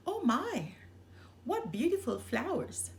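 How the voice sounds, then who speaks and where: A woman's voice: high voice, wildly swooping pitch, takes it slowly, slight background noise, voice pushed up, exaggerated voice. A woman voicing a sweet, cheerful, easily distracted little girl in a storybook reading. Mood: delighted.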